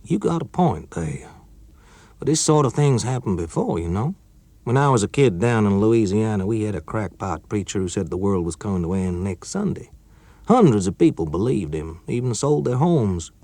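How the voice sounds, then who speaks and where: Man doing a gruff weary engineer, sour, lively pitch, dry close sound. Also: normal speed, no room sound. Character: gruff, bored male engineer from the South.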